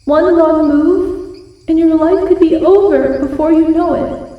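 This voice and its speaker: A woman voicing a shy giantess wolf girl in a slow, hesitant ASMR roleplay. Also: extremely high pitch, fairly even intonation, highs cut off. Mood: nervous, earnest. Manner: slow, hesitant, stammering when flustered. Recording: heavily echoing, distant-sounding voice.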